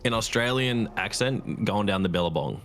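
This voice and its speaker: A man's voice: on the low side, animated, moderate pace, fairly bright tone, a little hiss or hum. A man chatting casually with a friend while they play a game, in a dry, teasing manner. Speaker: streaming co-player. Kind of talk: casual chat while gaming.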